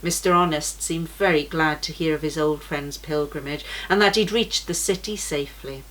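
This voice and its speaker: A woman reading a children's storybook aloud in a gentle, measured way. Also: sits low, low-quality recording.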